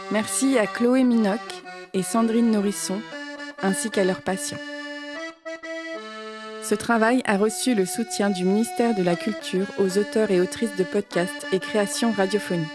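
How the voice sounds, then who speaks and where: A woman reading the credits of a radio documentary in a calm, measured way. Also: mid-range voice, music in the background. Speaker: credits narrator.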